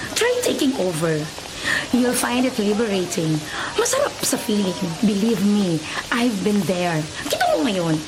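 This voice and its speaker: A woman's voice: mid-range voice, very noisy, natural voice. A woman with a Filipino accent playing a gushing, insistent young big-sister figure in a radio drama. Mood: friendly, encouraging. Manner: gushing, insistent, mixes in English phrases. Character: bubbly, bossy, affectionate big-sister woman, Ate Karen. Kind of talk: Tagalog radio drama acting. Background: music bed.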